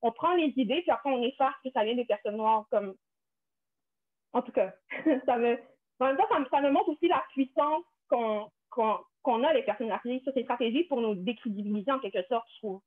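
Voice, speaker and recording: A woman's voice: a little high, brisk. A young woman from Quebec with a Québécois accent, speaking earnestly on a panel, restarting and repeating words often. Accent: Québécois French. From Canada, Quebec. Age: young adult. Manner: earnest, many restarts and repetitions. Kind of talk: panel discussion, personal testimony. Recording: tinny phone-like line.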